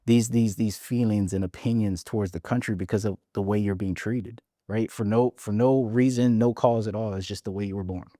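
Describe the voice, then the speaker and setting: Guy from hawaii, forties, low mellow voice, chatty and candid, dry close mic. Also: fairly even intonation, a little fast, few pauses, no echo. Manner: repeats words and restarts, frank and candid. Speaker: podcast guest. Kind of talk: casual interview storytelling.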